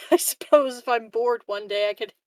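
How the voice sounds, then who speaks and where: A woman's voice: on the high side, a little slow, dry recording. A woman playing a tabletop roleplaying game, improvising conversation in a hesitant, politely wry manner.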